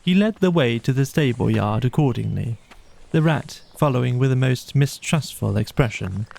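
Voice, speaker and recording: Male narrator, children's bedtime story, lively intonation, creaky edge, mid pitch. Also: moderate pace, low-quality recording. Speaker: audiobook narrator.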